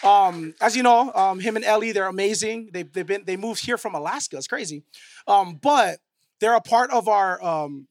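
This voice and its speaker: Church leader, high voice, halting casual intro, dry close sound. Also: male voice, dry recording. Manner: halting, many ums and restarts.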